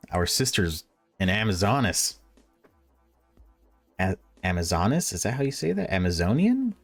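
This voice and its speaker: A man reading a visual novel aloud as a let's player, mixing expressive narration with wry, casual asides. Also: deep voice. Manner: wry, joking commentary between read passages.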